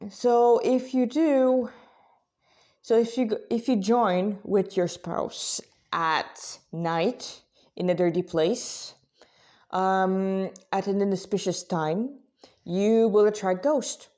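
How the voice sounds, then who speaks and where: Female spiritual vlogger, soft-edged mellow tone, mid pitch, conversational, stop-and-start delivery with self-corrections. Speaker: religious vlogger, Krishna devotee. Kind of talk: informal spiritual talk to listeners.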